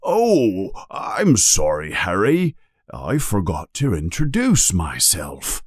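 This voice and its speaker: A man voicing a booming giant gamekeeper in a storybook, hot-tempered yet kindly, with an emphatic, exclaiming way of talking. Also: huge pitch swings, takes it slowly, breathy edge, no echo, clean studio sound, exaggerated voice. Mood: friendly.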